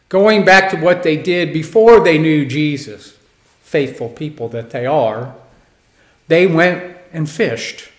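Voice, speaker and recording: A pastor preaching a sermon from the pulpit of a church, with slow, measured delivery and deliberate pauses. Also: male voice, fairly high pitch. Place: church sanctuary, indoors. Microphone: stand mic on pulpit. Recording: echoey church.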